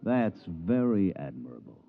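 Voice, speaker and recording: An American man playing a slick, breezy, fast-talking con-man promoter in a 1950s radio drama. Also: sits low, very animated, exaggerated voice. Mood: smoothly ingratiating. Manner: breezy, slangy, smooth-talking. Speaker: narrator and lead character. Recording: muffled old radio recording.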